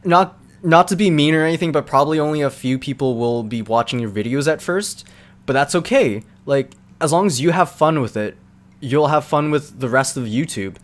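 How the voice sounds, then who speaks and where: A young man answering viewers' questions in a relaxed, rambling live-stream chat, full of fillers and quick laughs. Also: on the high side.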